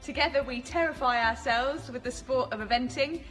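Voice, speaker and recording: A woman's voice: sits high, normal speed, fairly bright tone, soft background music, poor audio. Female contestant, 30s, from England, dry self-deprecating intro.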